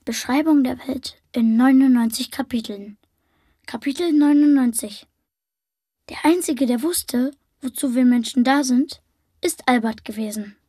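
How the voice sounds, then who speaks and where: Young defiant idealist, very high voice, husky edge, dry sound, declarative radio play recitation. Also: female voice, unhurried, mellow, no room sound. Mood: earnest, defiant.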